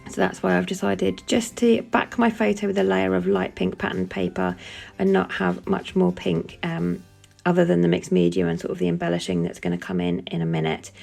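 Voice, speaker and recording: Chatty woman with a gravelly voice. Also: lowish voice, brisk, a little mellow, soft background music. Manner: chatty, lots of "um" fillers. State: has a cold, sniffling. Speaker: scrapbooking crafter demonstrating a layout. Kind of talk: casual voice-over for a craft process video.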